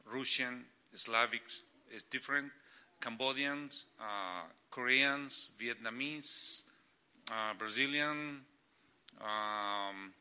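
Middle-aged spanish-speaking guy, halting english, really bright sharp tone. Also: mid-range voice, clear, resonant tone. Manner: halting, searching for English words. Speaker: church planting catalyst. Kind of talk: guest sharing ministry stories.

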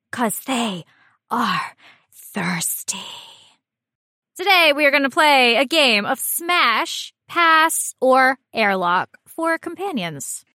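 A woman's voice: sits high, pushed and bright, no echo, clean studio sound. A woman co-hosting a podcast with casual, comedic banter in a playful, jokey manner. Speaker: podcast co-host.

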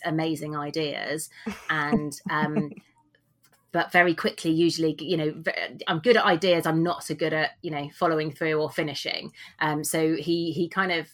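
A British woman in her forties, chatting casually and self-deprecatingly as a guest on a podcast, recorded at home. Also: low voice. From England. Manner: chatty, rambling, self-deprecating with frequent laughs. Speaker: guest author. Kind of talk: casual interview chat.

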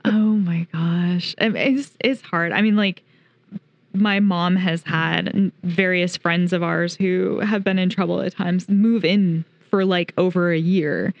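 A woman's voice: on the low side, fairly even intonation, no room sound. Chatty woman cohost laughing a lot, kinda muffled dry mic. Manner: chatty, laughs often, quick asides. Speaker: podcast co-host. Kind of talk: casual chat.

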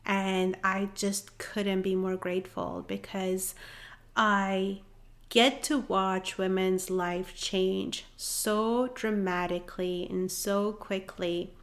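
A woman in her thirties with a Persian accent, talking as a relationship coach in an earnest, encouraging solo monologue that rises to excited emphasis. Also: mid-range voice, slow. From Iran. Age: 30s. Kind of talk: heartfelt solo podcast monologue.

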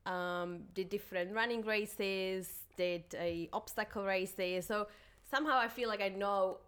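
A woman's voice: medium pitch, a little slow, fairly bright tone, clear, resonant tone. A woman in her thirties from Slovakia, chatting casually as a guest in an interview. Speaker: podcast guest.

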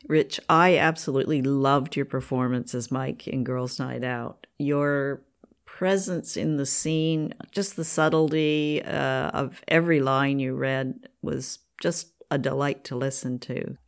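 A woman with a low-pitched voice hosts a podcast interview. She talks conversationally, introducing and questioning her guest. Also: steady tone, a little slow. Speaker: podcast host, writer and producer. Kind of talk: interview hosting.

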